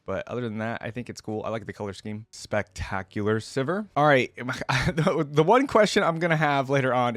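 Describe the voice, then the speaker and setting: A man's voice: mid-range voice, dry recording. A man giving casual, informal, lightly amused commentary as host of a reaction video.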